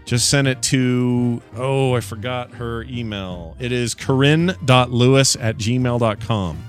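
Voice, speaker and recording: A man's voice: mid-range voice, slow, soft background music. A man in his forties hosting a live podcast, joking, laughing often and restarting his sentences. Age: 40s. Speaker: podcast host. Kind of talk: casual live-stream chat.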